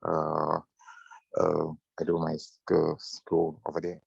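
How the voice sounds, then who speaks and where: Very deep gravelly man from Senegal. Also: completely flat delivery, takes it slowly, no echo, muffled recording. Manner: hesitant, non-native phrasing. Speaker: panel participant, entrepreneur. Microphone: video call.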